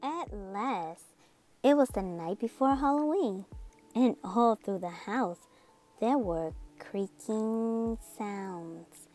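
A woman reading a children's book aloud in an expressive, dramatic storytelling manner. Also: medium pitch, rough recording. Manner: expressive, with drawn-out dramatic words and sound effects. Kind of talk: animated read-aloud storytelling. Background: music.

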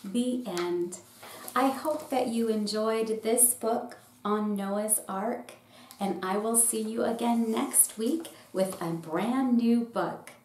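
A middle-aged woman, a pastor, reading a children's picture book aloud in short, deliberate phrases. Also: a little high, a little mellow. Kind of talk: storybook read-aloud for children. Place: small indoor room with bookshelf. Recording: roomy echo with faint background noise.

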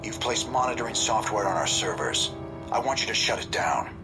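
Man voicing a low, stern spymaster. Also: expressive, a little fast, over music, close to an everyday voice. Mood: stern, guarded. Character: stern, controlled male intelligence cell leader. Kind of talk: video game voice acting.

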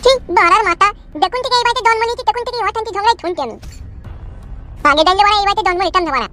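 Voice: extremely high pitch, animated, racing, full character voice. A performer voicing a cheeky, foul-mouthed newborn boy who answers back like a grown-up in a comedy cartoon. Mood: insolent. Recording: loud music under the voice.

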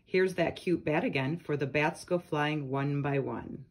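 A woman's voice: deep voice, unhurried. A woman reading children's nursery rhymes aloud from a picture book, speaking to young listeners. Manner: addresses young listeners with questions and short comments.

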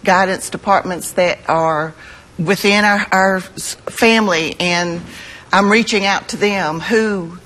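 A woman's voice: medium pitch, a little slow, fairly bright tone, low-quality recording. An American woman, an art teacher, speaking as a guest in a live TV interview, earnest and emphatic.

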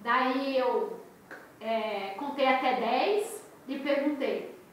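Woman, 30s, Brazilian Portuguese accent, high voice, expressive storyteller, very echoey bedroom, recorded from a distance. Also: very slow, slight background noise. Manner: animated, expressive storyteller, gestures a lot. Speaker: crochet and crafts channel host. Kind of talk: casual storytelling vlog to viewers. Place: small bedroom, indoors. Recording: echoey room, voice off the mic.

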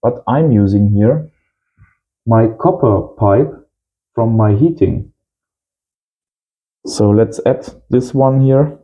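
A man's voice: medium pitch, slow pace, long pauses, warm tone, highs cut off. A man in his thirties giving a casual hands-on tutorial as host, in simple short phrases. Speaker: host of amateur radio channel. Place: small indoor home workshop.

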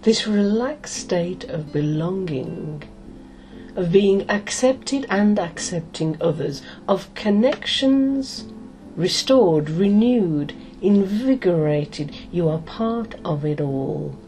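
A middle-aged woman speaks as a hypnotist, extremely slowly and soothingly, with long pauses between short phrases. Her voice is fairly low and mellow, made for guided relaxation. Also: animated, a little hiss or hum, rough recording.

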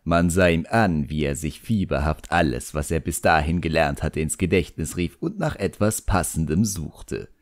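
Male audiobook reader, standard German accent, low pitch, highly varied melody, expressive scripted storytelling. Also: normal speed, hardly any pauses, no room sound, clean studio sound. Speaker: audiobook narrator. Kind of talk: expressive scripted first-person fantasy narration.

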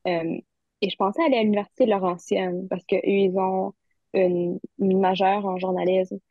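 A woman's voice: mid-range voice, steady tone, moderate pace, no echo. Woman from ontario, chatty, sounds like a phone line, dry. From Barrie, Ontario, Canada. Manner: chatty, laughs easily. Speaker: university student guest. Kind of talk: casual conversation.

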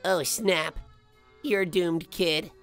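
A woman's voice: on the low side, drawn-out words, vocal fry, soft background music, full character voice. A woman voicing a small, blunt, wry magical cat creature in a fan cartoon comic dub.

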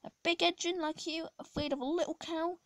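A hoarse woman reading as an old kindly engine. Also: very high voice, fairly even intonation, fairly quick, fairly bright tone, dry recording, studio quality, voice pushed up. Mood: teasing.

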